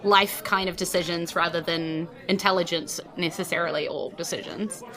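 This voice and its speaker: A woman's voice: lowish voice, moderate pace, sharp tone. A woman, narrating a tabletop game as game master in an informal, conversational way with casual asides.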